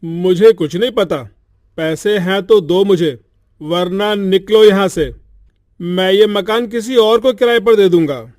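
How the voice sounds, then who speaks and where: A woman voicing a harsh, greedy male landlord who talks in a gruff, demanding way. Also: mid-range voice, unhurried, darkish tone, no echo, clean studio sound, close to an everyday voice. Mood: angry.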